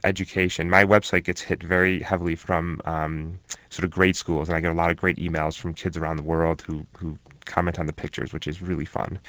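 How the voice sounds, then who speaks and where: Low-voiced guy talking through his research, lots of ums, dry close sound. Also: fairly bright tone, no room sound. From born in Germany to Egyptian parents. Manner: thoughtful, many ums and restarts. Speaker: interview guest, researcher. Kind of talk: relaxed conversational interview.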